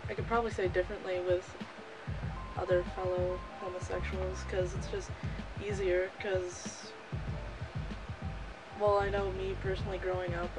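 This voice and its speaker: A woman in her late teens talks informally and personally in an interview. Her voice is a little low, with music clearly playing underneath. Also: little pitch movement, moderate pace, pauses often, poor audio. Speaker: student interviewee.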